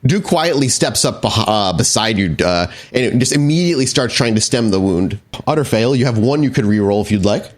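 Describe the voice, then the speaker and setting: A man's voice: medium pitch, expressive, brisk, few pauses. A man working as storyteller of a tabletop role-playing game, improvising narration and explaining the rules descriptively.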